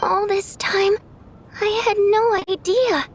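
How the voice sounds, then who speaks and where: A woman playing a young, soft-hearted girl in a video game, sincere, curious and childlike. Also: extremely high pitch, fairly even intonation, takes it slowly, slight background noise. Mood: wondering. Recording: music under the voice. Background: game music.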